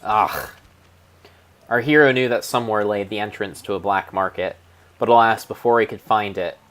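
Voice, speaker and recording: A man's voice: on the low side, moderate pace, fairly bright tone. A man narrating a video game like a dramatic storybook, solemn and theatrical, drawing out words for mock-epic effect. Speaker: streamer narrating own gameplay.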